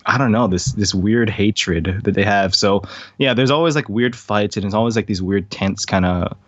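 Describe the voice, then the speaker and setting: Man from Hawaii, interview guest, rambling and casual, low voice, dull dry audio. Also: normal speed, dry recording. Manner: laid-back, rambling with many fillers.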